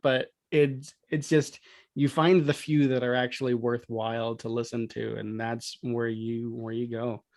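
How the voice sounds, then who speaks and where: A man's voice: mid-range voice, little pitch movement, measured pace, a little mellow. A man talking casually as a guest on a podcast. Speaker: podcast guest, audio drama producer.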